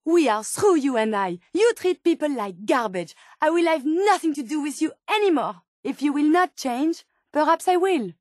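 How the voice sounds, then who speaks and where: A woman's voice: sits high, lively intonation, normal speed, few pauses, no room sound, clean studio sound. A woman with a French accent voicing an earnest, polite female robot scientist in a video game, with formal, precise wording. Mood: disappointed, reproachful. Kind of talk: video game character dialogue.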